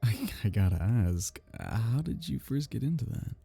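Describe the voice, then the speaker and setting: A man acting a geeky, awkward male kitsune on a blind date in an ASMR roleplay, halting, stammering and apologetic. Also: medium pitch, big pitch swings, creaky, mellow, slightly rough, faint music behind, full character voice. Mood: shy, curious.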